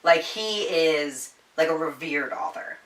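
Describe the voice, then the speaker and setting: A woman's voice: sits low, lively intonation, slow, fairly bright tone, recorded from a distance. A woman hosting a casual, chatty book review, informal and giggly, with some swearing. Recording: roomy echo, slightly off-mic.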